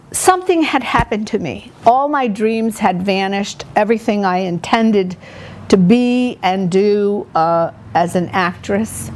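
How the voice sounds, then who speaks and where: An older woman, a poet whose first language is Polish, telling her life story in a thoughtful, halting way with pauses. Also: mid-range voice. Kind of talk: reflective personal storytelling.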